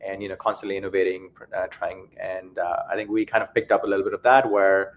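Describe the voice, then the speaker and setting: A man's voice: sits low, level tone, fast, rough recording. A man who grew up between Nepal and South Africa, a guest on a show, answering modestly with many fillers. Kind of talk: casual interview.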